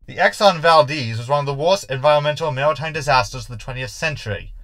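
A man's voice: a little high, fast, fairly bright tone, dry recording, natural voice. A man playing a fussy, anxious, sarcastic hologram crewmate in a sci-fi sitcom audio drama. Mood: pedantic griping. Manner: sarcastic, complaining.

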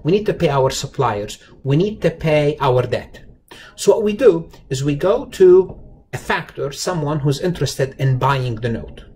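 A man with a slightly high voice teaches in a conversational lecture style. He repeats key phrases for emphasis and puts rhetorical questions to the learner. There is some faint noise in the recording. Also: expressive, measured pace, a little mellow. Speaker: accounting lecturer. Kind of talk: explanatory lecture over slides, informal and conversational. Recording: noticeable background noise.

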